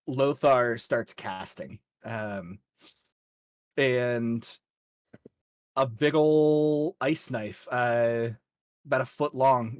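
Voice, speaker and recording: A man acting as game master, narrating a tabletop fantasy game off the cuff in a measured, halting way. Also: medium pitch, no echo.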